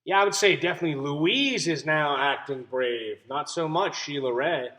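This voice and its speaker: A man's voice: fairly high pitch, normal speed, a bit bright. A man reading a picture book aloud as a teacher, explaining and putting questions to young listeners. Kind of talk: children's story read-aloud with questions to listeners.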